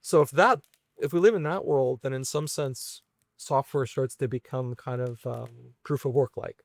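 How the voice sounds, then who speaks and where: A man's voice: mid-range voice, normal speed, no echo, clean studio sound. A man talking casually through technical ideas as an interview guest, reasoning aloud with hedges and tag questions. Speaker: guest, venture investor and software engineer.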